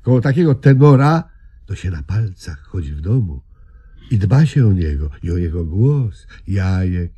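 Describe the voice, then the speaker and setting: A man's voice: low-pitched, very animated, moderate pace, warm tone, slightly rough, lo-fi sound, full character voice. An actor playing a pompous, gullible man proud of his own voice, in Polish radio comedy style with self-important emphasis. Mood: grandly boastful.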